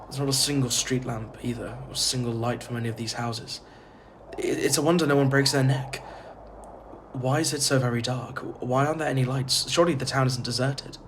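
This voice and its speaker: A man's voice: mid-range voice, fairly even intonation, a little fast, fairly bright tone, natural voice. An actor playing a polite, uneasy traveller in an audio drama, earnest and hesitant in his delivery. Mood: nervous, hesitant. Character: uneasy, polite male traveller narrating his strange homecoming. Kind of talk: audio drama narration and dialogue. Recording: clearly noisy ambience behind. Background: ambience noise.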